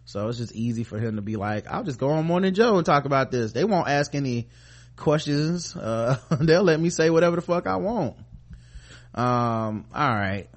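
A man's voice: on the high side, animated, normal speed. An American man co-hosting a podcast, chatting casually about the news in a profane, slangy, sardonic way. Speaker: podcast co-host. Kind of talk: casual news commentary chat.

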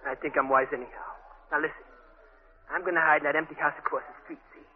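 An actor playing a hard, bossy New slum teenager in a 1940s radio drama, curt and slangy. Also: male voice, on the high side, fairly even intonation, quick pace, husky. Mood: menacing. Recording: muffled 1940s radio broadcast, music behind.

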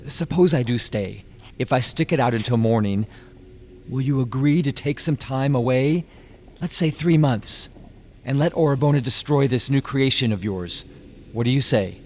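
A man plays a reasonable, skeptical gentleman in a radio drama. His medium-pitched voice is measured and conciliatory yet stubborn, with faint noise and ambience behind it. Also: lightly acted. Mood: reasoning.

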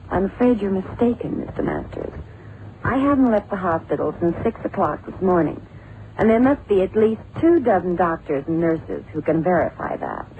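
A woman's voice: lowish voice, animated, normal speed. An actress playing a composed, guarded female doctor who answers politely but firmly, in a vintage radio drama. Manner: polite, reserved, firm. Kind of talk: 1960s-70s radio drama acting. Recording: tinny, noisy old radio drama recording.

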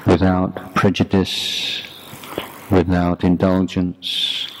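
Very slow, low-voiced male meditation teacher. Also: monotone. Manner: measured, deliberate, short phrases with long pauses. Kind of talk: guided meditation talk. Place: youth retreat. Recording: clearly noisy background.

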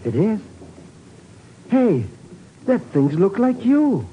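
An actor playing a brash, slow-witted comic sidekick who blusters and stammers in slang, in a 1950s radio drama. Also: male voice, high-pitched, very animated, narrow, tinny audio, full character voice. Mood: flustered. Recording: faintly noisy old radio recording.

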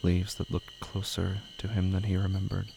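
Man, low voice, heavy vocal fry, flat intonation, slow ominous horror narration, crickets and clear background noise. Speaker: horror fiction podcast narrator.

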